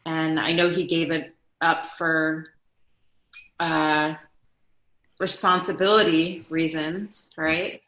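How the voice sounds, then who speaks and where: Middle-aged female interview guest, informal and rambling, forceful bright tone, roomy echoing sound. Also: low-pitched, flat delivery, slow, pauses often. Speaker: guest, daughter of an interviewed actor. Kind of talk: casual chat, reminiscing.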